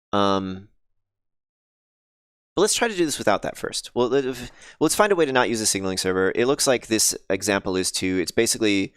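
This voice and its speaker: A man with a lowish, smooth voice talks casually through his game code on a live stream, pausing often and greeting viewers. His voice is a little scratchy and sniffly. The sound is close and dry. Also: fairly bright tone, no echo. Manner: thinks aloud, many ums and restarts, chats with viewers. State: slightly scratchy voice, sniffly after illness.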